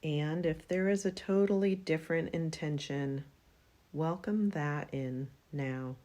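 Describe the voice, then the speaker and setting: A woman leads a yoga nidra practice in a low, mellow voice. She speaks very slowly and soothingly. Long pauses separate her short phrases, as in a guided meditation.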